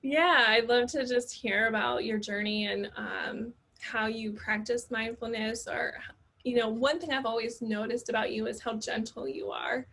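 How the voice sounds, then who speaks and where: A woman's voice: fairly high pitch, fairly even intonation, normal speed, pushed and bright. A young adult woman hosting a casual, reflective interview, tentatively searching for her words. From grew up in a Western country. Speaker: podcast host.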